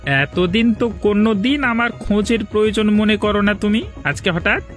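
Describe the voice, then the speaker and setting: A man's voice: high-pitched, moderate pace, a bit bright, soft background music. An actor playing a domineering, scheming older village father-in-law in a cartoon drama, scolding and threatening. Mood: angry, scolding.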